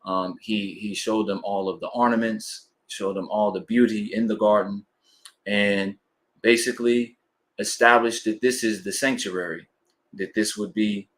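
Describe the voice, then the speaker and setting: Low-voiced man teaching, halting and deliberate. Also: fairly even intonation, fairly bright tone. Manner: halting, deliberate, repeats phrases for emphasis. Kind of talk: informal sermon, explanatory teaching.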